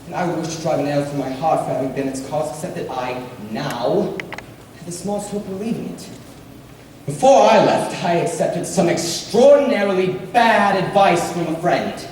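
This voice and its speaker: A man playing an earnest, lovestruck young gentleman in a period stage play, speaking in formal romantic declarations. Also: a little high, a little hiss or hum, natural voice. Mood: remorseful. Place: theatre stage. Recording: echoey stage recorded from far off.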